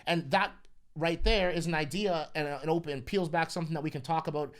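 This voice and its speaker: Earnest, fairly high-voiced man talking at length. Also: fairly even intonation, a little fast, a bit bright. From Caribbean family background. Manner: earnest, articulate, builds long run-on points. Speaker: podcast co-host. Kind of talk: casual podcast discussion.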